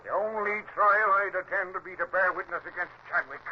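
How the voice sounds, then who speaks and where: An actor playing a defiant old mutineer sea captain in a radio drama, mock-courteous and wary, on an old recording. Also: male voice, sits high, brisk, lo-fi sound, slight background noise, lightly acted. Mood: bitter, bargaining. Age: older.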